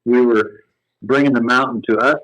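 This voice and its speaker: A man's voice: mid-range voice, steady tone, normal speed, fairly bright tone, no room sound. Man talking over a dry phone line. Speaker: company founder, phone guest. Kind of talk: casual storytelling interview.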